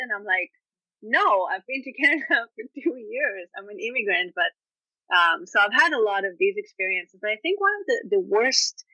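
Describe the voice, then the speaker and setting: A woman's voice: medium pitch, no room sound, rough recording. A woman with an Israeli accent, a native Hebrew speaker, telling stories animatedly as a guest author on a casual podcast, peppered with "you know". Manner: animated storytelling with frequent "you know" and laughs.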